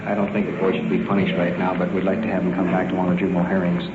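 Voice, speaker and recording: A man's voice: sits low, completely flat delivery, rapid-fire, narrow, tinny audio, heavy background noise. An American man, a police sergeant, talking on the job in a 1950s radio field recording. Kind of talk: unscripted on-the-job talk.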